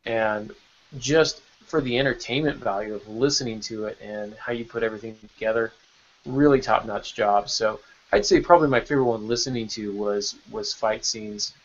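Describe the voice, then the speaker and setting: A man's voice: mid-range voice, a little fast, muffled recording. A man hosting a podcast in a casual, enthusiastic and encouraging way. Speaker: podcast host.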